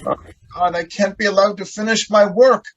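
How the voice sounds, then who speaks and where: An actor playing an idealistic, excitable young poet in a radio drama, earnest and theatrical with halting phrasing. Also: male voice, high voice, muffled recording, natural voice. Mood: strained, anguished.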